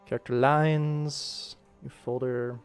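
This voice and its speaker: A man's voice: medium pitch, steady tone, takes it slowly. A man giving casual livestream commentary, informally thinking aloud as he works on an animation production. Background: music.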